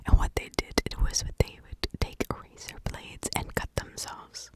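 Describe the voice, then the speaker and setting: A woman telling a true crime story as ASMR in a breathy whisper, in short, halting phrases with pauses. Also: a little mellow.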